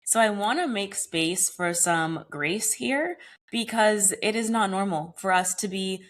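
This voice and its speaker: A young adult woman hosting her wellness podcast alone, talking in an earnest, conversational way, her voice a bit raspy from a recent cold. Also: medium pitch, measured pace, a bit bright, slight background noise. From United States. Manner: earnest, conversational, uses casual "y'all" and "like". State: slightly hoarse from a cold. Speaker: podcast host. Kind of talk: solo wellness podcast monologue.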